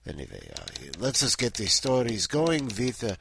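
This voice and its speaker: A man's voice: medium pitch, wildly swooping pitch, moderate pace, gravelly, a bit bright, slight background noise, heavily acted. A man playing a comic three-hundred-year-old Count Dracula with a mock Eastern European accent, hesitant and digressive as he hosts. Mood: rambling, grumbling. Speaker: host of a weekly story challenge. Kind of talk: comic ad-libbed monologue.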